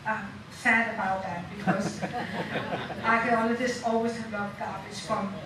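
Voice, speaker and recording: A woman with a Danish accent speaking as a panelist in an informal talk, halting and full of fillers. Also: mid-range voice, steady tone. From Denmark. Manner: halting, with many fillers and soft laughs. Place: lecture room. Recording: echoey room from a distant mic, noisy.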